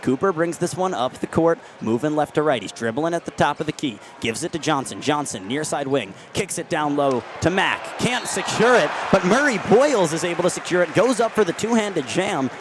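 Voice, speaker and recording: A young man in his twenties calling basketball play-by-play on the radio, with rapid, vivid play calling. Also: on the high side, expressive, background noise. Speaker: play-by-play announcer. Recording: radio call with loud arena crowd. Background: arena crowd and bouncing ball.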